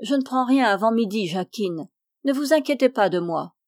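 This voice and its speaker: A woman voicing a calm, pious male schoolmaster who gives brief, gentle replies. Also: fairly high pitch, normal speed, no echo, studio quality.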